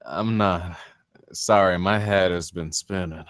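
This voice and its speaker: A man's voice: sits low, steady tone, slow pace, a bit bright, clean tone, no echo, studio quality. An actor playing a troubled, unraveling man haunted by guilt in a screenplay table read. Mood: evasive, casual.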